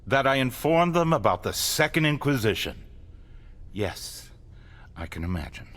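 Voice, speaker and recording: A man's voice: medium pitch, sing-song, moderate pace, fairly bright tone. A man playing a grave, controlling vampire caretaker in a dramatic game cutscene, explaining things with solemn insistence. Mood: concerned. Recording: faint music behind the voice.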